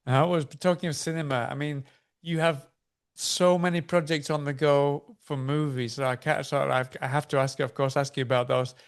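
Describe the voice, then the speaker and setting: A man's voice: on the high side, steady tone, moderate pace, smooth. A man hosting an interview, asking questions eagerly and agreeing often. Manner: eager, frequent agreeing backchannels. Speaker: interview host.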